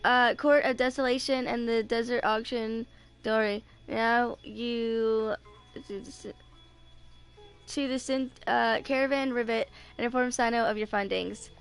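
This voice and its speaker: A woman's voice: on the high side, steady tone, measured pace, clean tone. A woman in her twenties casually commentating on a video game and reading on-screen text aloud. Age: 20s. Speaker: streamer playing a video game. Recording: game music behind the voice. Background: game music.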